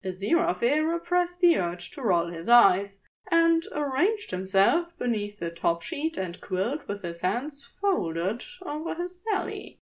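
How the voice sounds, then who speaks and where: A woman narrating a story in a measured, expressive storytelling manner, as in an audiobook. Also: fairly high pitch. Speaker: podfic reader. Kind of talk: scripted fiction narration, audiobook reading.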